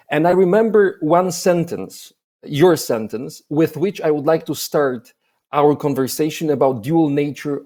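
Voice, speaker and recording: A man's voice: on the high side, warm tone. A man with a Polish accent who hosts a festival interview over a video call, speaking formally with many hesitations and filler sounds. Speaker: festival program director, interviewer.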